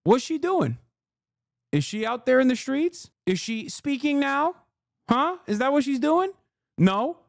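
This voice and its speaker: A man's voice: very high voice, very animated, unhurried, vocal fry, no room sound, clean studio sound. A man in his thirties, a streamer, ranting in heated, profane reaction commentary and laughing as he talks. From Turkey. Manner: laughs through his lines.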